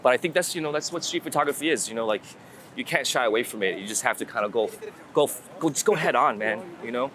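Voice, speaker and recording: Male interviewee, bright voice, informal rambling explainer, outdoor city street with faint traffic noise. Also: mid-range voice, animated, fast talker. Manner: rambling, informal, thinking aloud with repeated phrases. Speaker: guest street photographer. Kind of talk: casual conversational explanation while out shooting.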